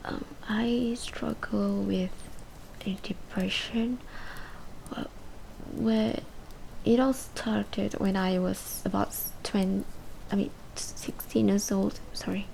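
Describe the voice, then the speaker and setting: Girl acting as a depressed twenty-something in a radio drama, halting and monotone, creaky voice, withdrawn. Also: mid-range voice, a little hiss or hum, poor audio. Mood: hesitant, downcast. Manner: hesitant, halting, breaking into whispers. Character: withdrawn, depressed twenty-three-year-old patient confessing painfully. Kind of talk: amateur radio drama acting, confessional monologue.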